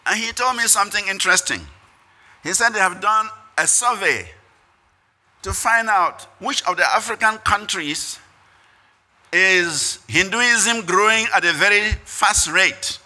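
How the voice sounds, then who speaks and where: Older male preacher, Ghanaian accent, very sharp high voice, phrase-by-phrase conference address at a podium. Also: a little slow. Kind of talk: prepared speech read at a lectern. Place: conference hall stage. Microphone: podium microphones.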